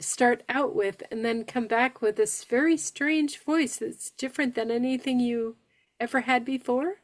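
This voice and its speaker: Woman with aphasia, from New York State, sharing her story on a video call; measured, careful articulation. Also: on the high side. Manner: slow, deliberate speech with careful pronunciation after aphasia. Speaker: support group guest speaker. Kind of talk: sharing personal experience with a support group.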